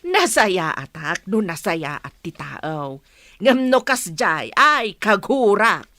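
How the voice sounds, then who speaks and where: A woman's voice: mid-range voice, sing-song, slow, bright, forceful tone, no echo, studio quality, heavily acted. An actress playing a nagging, outspoken middle-aged mother in a radio drama, exclaiming, scolding and wheedling. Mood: indignant.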